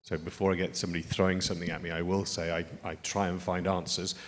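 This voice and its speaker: A man in the audience asking a question from the floor, quick and wry. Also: sits low, little pitch movement, slight background noise. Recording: long hall reverb.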